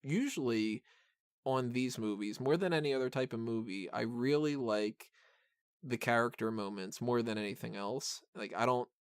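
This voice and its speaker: A man with a clean, smooth voice hosts a casual podcast review. He rambles along enthusiastically as he talks. The sound is close and dry, with a mid-range pitch. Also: moderate pace, no room sound. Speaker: podcast host reviewing a film. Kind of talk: casual film discussion.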